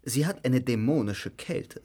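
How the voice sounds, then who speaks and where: An actor playing a grand, declamatory stage actor. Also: male voice, mid-range voice, expressive, measured pace, dark tone. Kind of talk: radio play acting.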